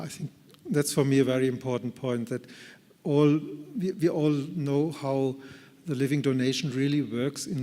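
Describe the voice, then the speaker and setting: A man answering questions as a speaker at a conference, in an earnest, deliberate and carefully hedged way. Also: mid-range voice, mellow. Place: large conference hall. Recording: reverberant conference hall.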